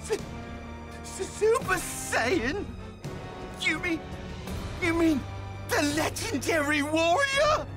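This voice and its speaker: A man's voice: very high voice, very animated, slow, pauses often, over loud music, exaggerated voice. An actor voicing a cocky young male alien henchman in an anime game. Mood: incredulous. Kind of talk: anime game dub acting.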